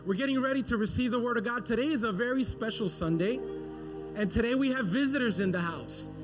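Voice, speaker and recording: A man's voice: extremely high pitch, a little fast, music in the background. A man leading a church service as pastor, energetically exhorting the congregation. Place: church sanctuary.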